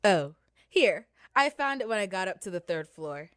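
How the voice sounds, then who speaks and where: A woman's voice: medium pitch, big pitch swings, normal speed, fairly bright tone, smooth, no echo, studio quality. A young woman playing a smug, teasing prankster in an amateur radio play.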